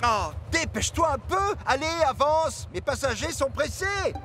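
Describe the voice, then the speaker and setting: An actor voicing an anxious young steam locomotive, eager and hesitant, in a French children's cartoon dub. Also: male voice, very high voice, sing-song, normal speed, sharp tone, slight background noise, full character voice. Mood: impatient, hurried. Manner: worried, hesitant.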